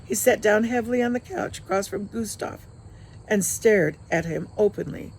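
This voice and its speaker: A woman reading her own novel aloud as a storyteller, with expressive, dramatic narration. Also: mid-range voice, moderate pace, gravelly, slight background noise, rough recording. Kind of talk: expressive audiobook-style narration.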